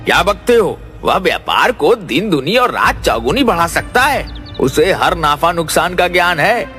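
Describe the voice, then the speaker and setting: A man's voice: fairly high pitch, big pitch swings, normal speed, sharp tone. A man voicing a greedy, gullible older merchant in a cartoon story, blustering and suspicious. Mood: indignant. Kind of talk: animated Hindi moral-story cartoon acting. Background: faint music.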